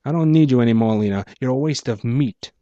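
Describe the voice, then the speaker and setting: Man acting a theatrical, high-swooping clockwork gangster boss. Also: warm tone, no room sound, dull audio, natural voice. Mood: menacing. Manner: theatrical, taunting, drawn-out phrasing. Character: theatrical gloating male clockwork gangster boss with copper body. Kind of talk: dramatic audiobook character voice, noir pulp.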